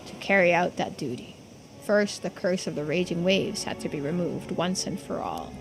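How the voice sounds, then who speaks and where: A woman's voice: lowish voice, normal speed, music in the background, close to an everyday voice, poor audio. A woman voicing a folksy, earnest islander who explains old secrets in video game dialogue.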